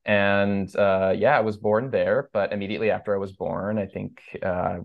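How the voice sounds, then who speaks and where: A man's voice: on the low side, steady tone, normal speed, creaky, phone-quality sound. A man in his thirties, raised between two countries and a native Persian speaker, chatting as a podcast guest in a loose, rambling way full of fillers and restarts. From United States. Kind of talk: casual interview conversation.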